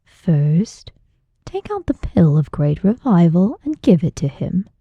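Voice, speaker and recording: A woman voicing a cold, aloof male martial arts master in an audiobook, slow, measured and commanding. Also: fairly low pitch, sing-song, slightly rough, dry recording, muffled recording, exaggerated voice. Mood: cool, curt. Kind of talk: audiobook character voice.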